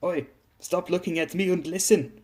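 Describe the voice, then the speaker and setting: A man's voice: a little high, normal speed, natural voice. A man voicing an arrogant, impatient female spirit of a German warship in a story, with a German accent. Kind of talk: dramatised story reading.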